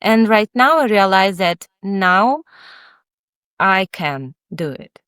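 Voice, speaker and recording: A woman, not an English speaker, answering interview questions in a halting, candid, thoughtful way with many pauses. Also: mid-range voice, animated, fairly bright tone, clean tone, no echo, clean studio sound. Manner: candid, reflective. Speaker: guest author, child psychotherapist.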